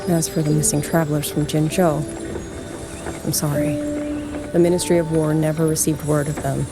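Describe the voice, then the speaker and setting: A woman voicing a solemn, dutiful military commander in a video game, with grave, deliberate and formal delivery. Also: low voice, flat delivery. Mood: formal, regretful. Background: prominent game music.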